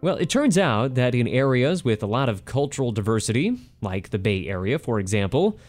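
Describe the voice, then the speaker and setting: A man's voice: medium pitch, very animated, fairly quick, a little mellow, dry recording, soft background music. A man hosting a radio interview show, speaking in a conversational, emphatic presenter style. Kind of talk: scripted radio introduction and interview.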